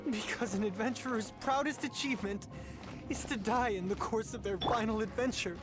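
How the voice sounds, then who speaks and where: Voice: mid-range voice, normal speed, lightly acted. A voice actor playing an eager, earnest young adventurer in a game, with music behind.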